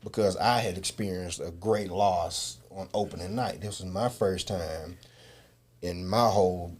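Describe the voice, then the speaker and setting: A man with a low, slightly rough voice talks as a stage actor in a conversational interview. He is earnest and measured, pausing and circling back over phrases as he reflects. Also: a little mellow.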